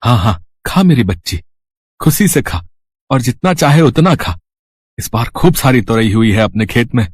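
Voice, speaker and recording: A man playing a poor, loving farmer and father, earnest and melodramatic, in an animated moral story. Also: medium pitch, normal speed, husky, no echo. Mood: happy, affectionate.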